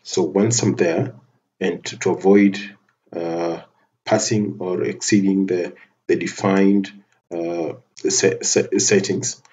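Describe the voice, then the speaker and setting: A man giving a methodical, step-by-step software tutorial over a screen recording, often restarting his phrases. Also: sits low, rough recording. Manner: methodical step-by-step narration with frequent restarts and fillers.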